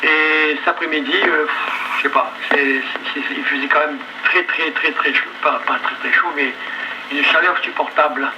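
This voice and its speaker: A man's voice: on the high side, steady tone, moderate pace. An older man chatting casually as an amateur radio operator over a two-way link. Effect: two-way radio transmission. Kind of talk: casual ham radio chat. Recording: very noisy VHF radio relay.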